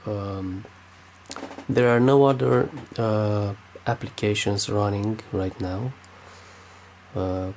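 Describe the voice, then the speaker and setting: A man's voice: fairly low pitch, steady tone, slow pace, a little mellow, a little hiss or hum. A man with a Romanian accent, speaking unscripted with many fillers and restarts while demonstrating something at his desk. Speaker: tech video maker.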